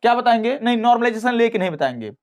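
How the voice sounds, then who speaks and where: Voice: medium pitch, sing-song, rapid-fire. An exam-prep teacher giving an informal explainer, speaking emphatically and repeating key phrases with assuring tags. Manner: repetitive, emphatic, with recurring assuring tag phrases.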